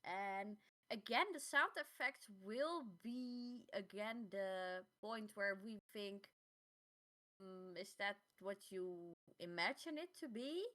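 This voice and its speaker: A woman co-hosting a podcast in casual chat, halting and repeating words as she finds her phrasing. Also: medium pitch, animated, pushed and bright, poor audio. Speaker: podcast co-host.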